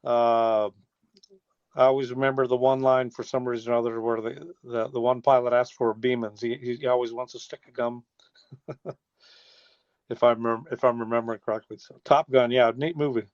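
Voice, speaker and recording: Male, 60s, American. Folksy, meandering interviewee, mid-pitched, over a dull phone connection. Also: flat delivery, moderate pace, dry recording. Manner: folksy, rambling with trailing restarts. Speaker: interview guest, teacher and former air traffic controller. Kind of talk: casual phone interview, reminiscing. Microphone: telephone.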